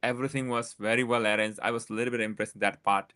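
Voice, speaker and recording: A man with a Nepali accent hosts a live-streamed talk show, firing off his words at a very fast clip. He hesitates often on uhs and restarts his questions, and his pitch sits in the middle range. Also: steady tone, sharp tone, clear, resonant tone. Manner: halting, with many uhs, switches between languages. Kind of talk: live-streamed interview hosting.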